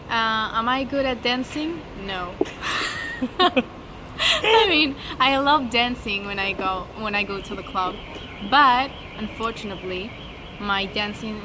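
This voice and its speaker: A twenty-one-year-old woman, a college student co-hosting a casual Q&A and sometimes searching for English words. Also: mid-range voice. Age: early 20s. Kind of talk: casual Q&A chat. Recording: noisy background hiss.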